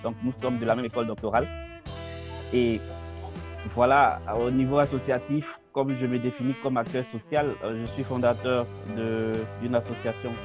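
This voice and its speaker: A man's voice: mid-range voice, little pitch movement, moderate pace, music in the background. A man with an Ivorian French accent, introducing himself informally as a panelist in an online webinar. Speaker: panelist, communication consultant and blogger.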